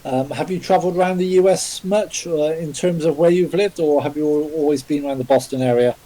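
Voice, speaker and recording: A man with a fairly high but mellow voice hosts a live podcast over voice chat. He speaks politely and formally, with many "um"s and "uh"s. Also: a little fast, poor audio. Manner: polite, formal, filler-heavy. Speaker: podcast host, interviewer. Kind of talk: hosting a live podcast interview. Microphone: remote voice chat call.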